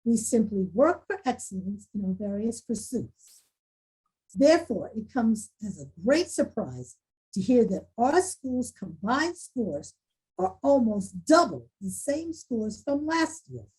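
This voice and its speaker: Woman playing a husky, expressive school principal. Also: fairly high pitch, slow, full character voice, poor audio. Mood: formal, announcing. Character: school principal, composed adult woman, proud and formal. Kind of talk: virtual table read of a screenplay.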